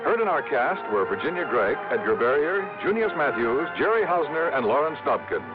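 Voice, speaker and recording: A man's voice: on the high side, big pitch swings, brisk. A man giving formal, declamatory scripted announcements in 1950s radio style. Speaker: program announcer. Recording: muffled vintage radio recording. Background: music.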